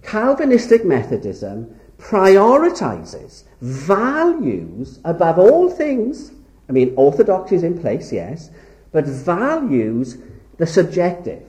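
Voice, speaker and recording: A man preaching in an echoey hall, his voice mellow and dark yet swinging widely in pitch. He speaks earnestly, stretching out key words for emphasis. He grew up in Wales. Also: wildly swooping pitch, slow. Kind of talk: sermon lecture.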